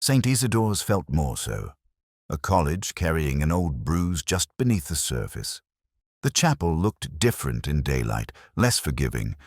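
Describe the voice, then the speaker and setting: A man playing a brooding, observant police detective, narrating his case in a measured, solemn, literary manner for a mystery drama. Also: very deep voice, dry recording, studio quality, heavily acted. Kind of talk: scripted first-person mystery narration.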